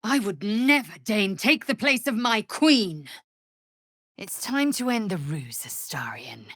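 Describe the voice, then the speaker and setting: A woman's voice: medium pitch, expressive, takes it slowly, a bit bright, no room sound, clean studio sound. An actress playing a fierce, proud young alien warrior woman in a fantasy video game, speaking in an imperious, clipped, scornful way with formal phrasing. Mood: hushed, grave.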